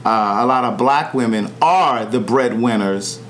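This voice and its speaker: A man hosts an easygoing talk, chatting freely and acting out quoted people as he tells stories. His voice sits at a middle pitch, with a faint hum running under the recording. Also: moderate pace. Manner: animated storyteller, acts out quoted voices. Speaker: podcast host. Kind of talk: casual conversational interview.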